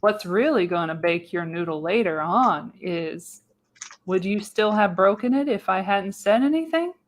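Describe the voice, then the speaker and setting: A woman's voice: medium pitch, expressive, a little slow, natural voice. Radio play role: older female seer, folksy, wry, knowing, acted by a woman at a table read. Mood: wry, teasing.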